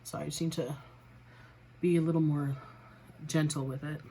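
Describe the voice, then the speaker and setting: Low-voiced chatty woman, a bit raspy. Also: steady tone, moderate pace, mellow, slight background noise, rough recording. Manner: chatty, self-deprecating, narrating each step. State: slightly raspy from a cold. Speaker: beauty vlogger. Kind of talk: casual makeup tutorial chat.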